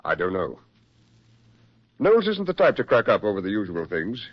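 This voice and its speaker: A man acting a brisk, persuasive military psychiatrist with genteel, insistent delivery in a 1940s radio drama. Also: fairly low pitch, wildly swooping pitch. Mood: grave, weary concern. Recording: muffled 1940s radio recording.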